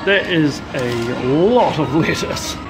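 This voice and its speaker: A man's voice: a little high, slow pace. Chatty Kiwi guy reviewing food. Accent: New Zealand. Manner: relaxed, chatty, talks while eating. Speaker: food vlogger. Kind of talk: casual food review chat. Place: diner booth, indoors, then parked car.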